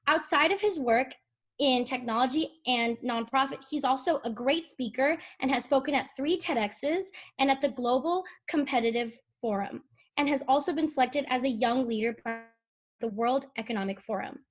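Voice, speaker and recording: A woman's voice: high-pitched, moderate pace. A woman hosting a video call, introducing a guest in an eager, conversational way. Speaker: host.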